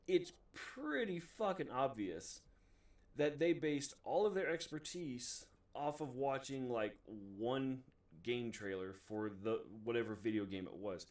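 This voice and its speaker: Guy doing an opinionated video rant, cusses a lot, fed up and emphatic, average pitch, sounds kinda far from the mic. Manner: profane, exasperated, emphatic. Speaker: video host reviewing movies. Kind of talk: casual opinionated rant to camera.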